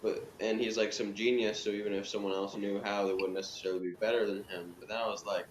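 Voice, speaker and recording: A man's voice: fairly low pitch, little pitch movement, fast talker. A man talking casually in a group chat reviewing a television show. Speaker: review panelist. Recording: roomy echo, background noise.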